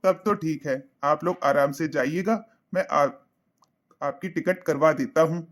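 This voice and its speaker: A man's voice: a little high, unhurried, a bit bright. A young man voicing a devoted son in a cartoon, eager and reassuring. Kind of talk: cartoon voice acting.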